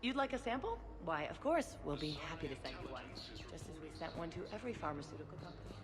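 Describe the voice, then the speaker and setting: A woman playing a brisk medical supply official in a video game, polished, businesslike and expressive. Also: low voice. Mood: smug, businesslike.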